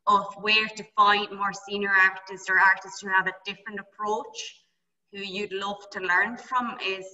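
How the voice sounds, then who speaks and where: A woman from Europe asks a long, rambling question on a video call, hesitant and apologetic. Her voice is very bright and sharp but sits far from the mic. The call audio is dull and muffled. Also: mid-range voice, level tone, normal speed. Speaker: participant asking a question.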